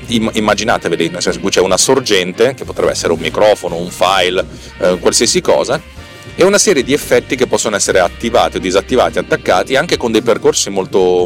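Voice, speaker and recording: Low-pitched man rambling casually. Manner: rambling, self-deprecating, colloquial with crude slang, repeats words for emphasis. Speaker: podcast host and software developer. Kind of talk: casual solo podcast monologue, technical explanation.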